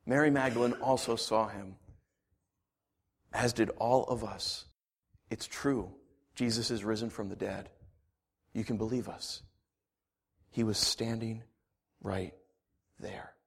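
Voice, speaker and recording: A gentle apostle, as a man voices him, speaks in a soft, soothing hush meant to calm tempers. His voice stays low and quiet, unhurried and reassuring. This is storytelling performed as a quiet scene. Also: vocal fry, husky, heavily acted. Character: John, gentle male apostle, calm peacemaker.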